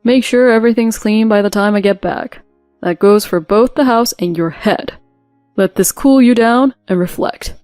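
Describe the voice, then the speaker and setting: A woman voicing an aggressive middle-aged husband who scolds and rants loudly. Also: fairly high pitch, moderate pace, no echo, faint music behind, lightly acted. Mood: mocking. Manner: loud, scolding, ranting.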